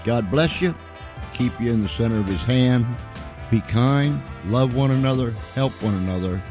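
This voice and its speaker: A man hosting an informal live radio show, speaking to listeners in a folksy, effusive way and repeating phrases for emphasis. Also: fairly low pitch. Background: music.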